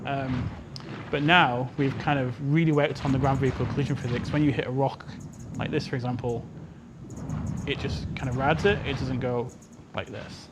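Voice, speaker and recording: A man casually explaining technical details as a game developer, in a halting, filler-heavy way. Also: fairly even intonation. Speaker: game developer answering questions. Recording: loud vehicle rumble and game noise behind.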